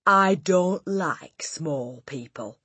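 A woman voicing a huge, tyrannical headmistress who loathes children, bellowing her insults with drawn-out emphasis in an audiobook. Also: deep voice, animated, pushed and bright, husky, dry recording, exaggerated voice. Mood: contemptuous. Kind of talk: audiobook character voice.

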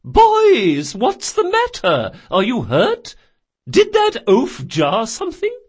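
A man's voice: extremely high pitch, huge pitch swings, slow, husky, voice pushed up, heavily acted. A man voicing a bossy, fierce old grandmother shopkeeper in an audiobook, emphatic and commanding with a strong carrying voice. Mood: alarmed concern.

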